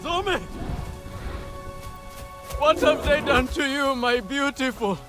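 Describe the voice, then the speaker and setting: A man's voice: very high-pitched, takes it slowly, over music. A man playing a proud, anguished Na'vi hunter, speaking in a solemn, formal, poetic way for a game cutscene. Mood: grieving, pleading. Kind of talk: dramatic video game cutscene acting.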